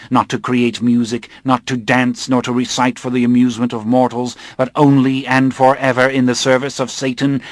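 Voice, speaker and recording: A man voicing a cold, solemn male coven leader of a satanic sect, intoning slow archaic speech in an audiobook. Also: mid-range voice, dry recording, natural voice.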